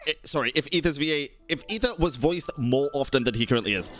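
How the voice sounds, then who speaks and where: A man's voice: medium pitch, lively intonation, fast talker, music in the background. A man giving casual live commentary as a game streamer, slangy, sweary and reactive.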